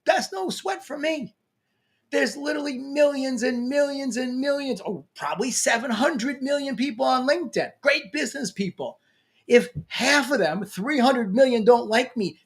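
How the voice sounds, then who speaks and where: Lively New York man giving a pep talk. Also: very high-pitched, normal speed. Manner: energetic, emphatic, playful. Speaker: LinkedIn coach. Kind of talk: motivational talk to camera.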